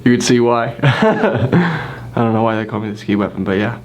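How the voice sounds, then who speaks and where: A man's voice: medium pitch, expressive, moderate pace. A 23-year-old man in a casual interview, rambling and amused at himself.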